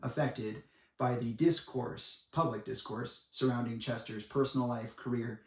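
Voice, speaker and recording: Man in his twenties, echoey room, off-mic, deliberate dramatic monologue. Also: medium pitch, fairly even intonation. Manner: dramatic and deliberate, with pauses and profane asides. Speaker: speaker making a personal public statement. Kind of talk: semi-prepared monologue addressed to viewers. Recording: echoey room, distant microphone.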